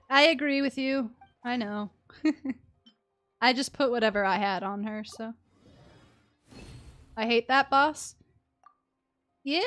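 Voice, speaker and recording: A young woman live-streaming a game, chatting casually with an expressive, playful manner. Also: a little high. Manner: expressive, playful, exclaims and chants little words.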